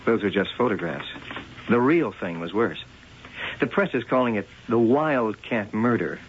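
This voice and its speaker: A laconic police lieutenant. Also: male voice, on the low side, animated, a little slow, slight background noise. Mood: probing. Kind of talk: 1970s radio drama acting. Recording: muffled old radio broadcast.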